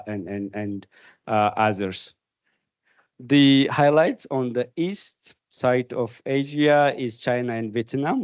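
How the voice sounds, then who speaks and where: A middle-aged man with an Ethiopian accent gives a conversational conference lecture, halting and repeating words as he goes. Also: medium pitch, a little mellow, no room sound. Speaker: keynote speaker, research manager.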